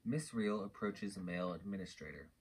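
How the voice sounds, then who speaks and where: Very low male stage-direction reader. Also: fairly quick, husky. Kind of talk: scripted narration of stage directions. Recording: faint background noise, clicks.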